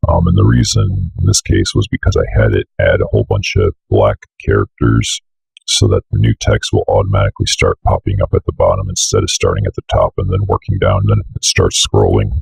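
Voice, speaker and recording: A man's voice: rumbling low, brisk, gravelly, darkish tone, slight background noise, poor audio. A young man giving a casual screen-share tutorial, rambling and thinking aloud as he works. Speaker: tutorial presenter. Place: indoors at a computer desk.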